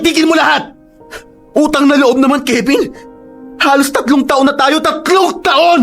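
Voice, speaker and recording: High-pitched, pleading young boyfriend. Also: male voice. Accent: Filipino. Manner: emotional, pleading. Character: young male college student, affectionate, pleading jilted boyfriend. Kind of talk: Tagalog radio drama acting. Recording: music behind the voice.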